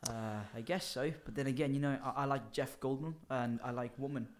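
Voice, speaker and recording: A man's voice: mid-range voice, steady tone, a little fast, crowd noise. A man in his twenties from Italy, joking and self-mocking in casual, sweary podcast banter as a comedian co-host. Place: small indoor garage-style podcast studio. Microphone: close microphone on boom arm.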